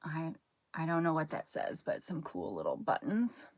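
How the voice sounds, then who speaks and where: Chatty woman with a low, soothing voice. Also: huge pitch swings, normal speed, no echo. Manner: rambling, chatty, self-interrupting while sorting items. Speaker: channel host. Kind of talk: casual haul vlog chat.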